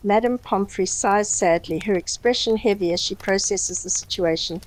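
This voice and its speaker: A woman's voice: mid-range voice, normal speed, low-quality recording. A woman reading a scripted story as its narrator.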